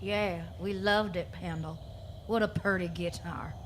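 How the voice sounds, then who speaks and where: A woman's voice: on the low side, fairly even intonation, unhurried, background noise, lightly acted. A woman playing a cruel, gleeful bully's sidekick with mocking, folksy talk in a Western radio drama. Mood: sly taunting.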